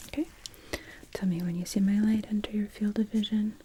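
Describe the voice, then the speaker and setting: Whispering woman, soft ASMR exam. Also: mid-range voice, a little fast, a little hiss or hum. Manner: calm, gentle step-by-step instructions. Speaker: ASMR performer playing an EEG technician. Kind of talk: ASMR medical exam roleplay. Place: small indoor room dressed as hospital bay.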